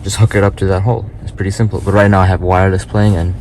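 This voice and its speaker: A man's voice: sits low, little pitch movement, racing, a little mellow. A man giving a casual, laid-back, unscripted product demo in short trailing phrases, recorded inside a car. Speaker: product reviewer demonstrating a car head unit. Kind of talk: casual hands-on demo chat. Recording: noisy car interior, vehicle ambience.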